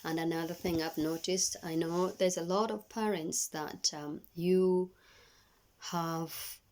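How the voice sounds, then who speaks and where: Low-voiced Tanzanian woman, earnest. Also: fairly even intonation, slow pace, darkish tone. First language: Swahili. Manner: earnest, hesitant with restarts. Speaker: parent giving advice. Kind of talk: personal video message.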